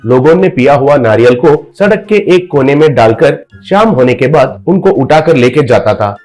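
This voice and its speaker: A man narrating a scripted cartoon story in a steady storytelling manner. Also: mid-range voice, normal speed, faint music behind.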